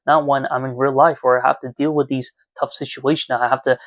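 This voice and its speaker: A man's voice: medium pitch, level tone, fairly quick, a bit bright, no echo. A twenty-year-old man talking earnestly and reflectively to viewers in a casual, confessional vlog recorded in his room at home. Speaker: YouTuber. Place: small room at home, indoors.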